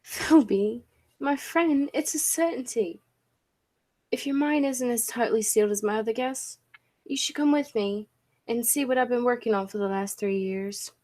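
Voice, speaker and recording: A woman playing a confident, eccentric professor and inventor in a 1940s-style radio play, speaking in an assured, deliberate way. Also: fairly high pitch, darkish tone, natural voice. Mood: proud, earnest.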